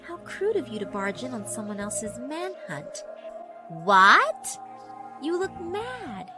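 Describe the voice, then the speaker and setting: A woman's voice: fairly high pitch, sing-song, music in the background. A woman voicing a cruel, mocking female school spirit in a horror visual novel, haughty and theatrical. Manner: taunting, haughty, drawn-out theatrical delivery.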